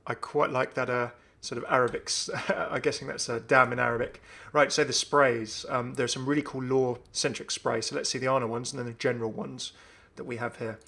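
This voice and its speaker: Male gaming video host, enthusiastic and casual, medium pitch with noticeable vocal fry. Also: fairly even intonation, fairly quick, hardly any pauses, pushed and bright. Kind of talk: casual commentary on a game update.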